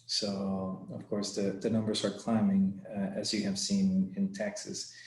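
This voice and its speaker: A man presents over a video call in a friendly, conversational way. His voice sits a little low, and he often restarts his phrases. The sound carries a noticeable room echo. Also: level tone, measured pace, darkish tone. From Costa Rica. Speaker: guest presenter. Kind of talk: informal webinar talk. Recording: echoey room.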